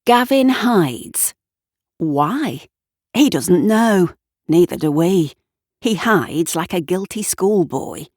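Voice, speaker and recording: A woman's voice: on the low side, lively intonation, slow pace, darkish tone, dry recording, studio quality. A woman narrating scripted fiction dramatically, with wry, conversational asides to the listener. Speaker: storyteller narrating audio fiction.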